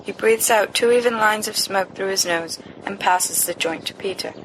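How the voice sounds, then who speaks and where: Woman narrating fiction over clear background noise. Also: medium pitch, normal speed. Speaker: volunteer audiobook reader. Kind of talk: expressive narration of fan fiction. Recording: noisy amateur home recording.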